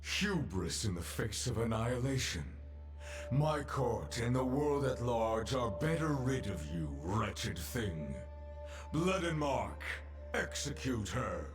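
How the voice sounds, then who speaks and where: An actor playing a stern, imperious judge in a video game, speaking formally and solemnly, as if pronouncing sentence. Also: male voice, medium pitch, big pitch swings, slow pace, music in the background. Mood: wrathful. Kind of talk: dramatic video game voice acting.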